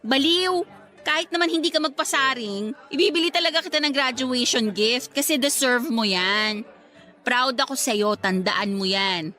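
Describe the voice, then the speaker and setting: A woman acting a sentimental young working woman who hopes to teach, earnest and expressive, in a radio drama. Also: sits high, moderate pace. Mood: teasing, affectionate. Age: young adult.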